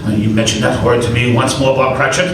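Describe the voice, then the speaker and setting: A man playing a miserly, bitter old moneylender in a live radio play, gruff and scornful. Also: medium pitch, steady tone, fast talker, very echoey, distant mic, noisy background, close to an everyday voice.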